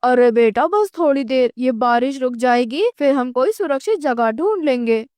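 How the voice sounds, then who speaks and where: A woman as an earnest father donkey, high-pitched. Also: expressive, normal speed, a little mellow, dry recording. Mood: reassuring. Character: worried, grateful father donkey, widowed and protective. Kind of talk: Hindi children's cartoon story dialogue.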